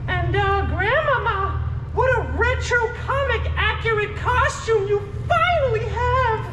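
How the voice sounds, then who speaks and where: A man voicing a dainty, theatrical storybook girl in a strained, airy falsetto, with exaggerated delivery. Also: expressive, measured pace, echoey room, heavy background noise. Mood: coy amazement.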